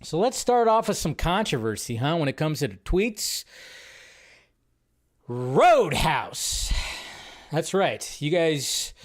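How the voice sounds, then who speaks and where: A man's voice: on the high side, very animated, takes it slowly, long pauses. A young adult man hosting a live movie news stream, chatty and rambling, a little sleep deprived. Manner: chatty and rambling, loses his train of thought. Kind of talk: casual live-stream commentary.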